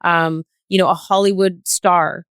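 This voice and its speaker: A woman's voice: on the low side, fairly even intonation, unhurried, fairly bright tone, smooth, no echo, clean studio sound. A woman in a reflective podcast conversation, explaining her views in a thoughtful, earnest way. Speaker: podcast guest.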